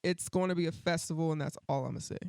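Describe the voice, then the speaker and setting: A woman singer guest with a deep alto voice, talking in a laid-back, easygoing way in a casual interview. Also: fast, gravelly, a little mellow, clear, resonant tone, dry recording, studio quality.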